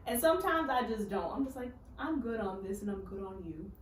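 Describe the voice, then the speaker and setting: A woman's voice: mid-range voice, animated, a little fast. A woman guest in a casual chat, candid and frank about herself. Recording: echoey room, mic far off.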